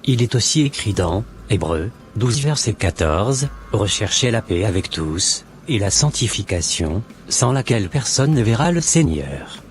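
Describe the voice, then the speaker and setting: Low-voiced devout man, acted by a man, measured. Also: big pitch swings, slight background noise. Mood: calm, admonishing. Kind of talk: scripted cartoon dialogue.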